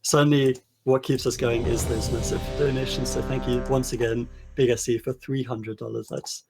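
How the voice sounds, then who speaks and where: A man's voice: medium pitch, flat delivery, moderate pace, over music. A man casting a live esports stream, enthusiastically thanking donors while his voice is tiring. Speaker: esports caster.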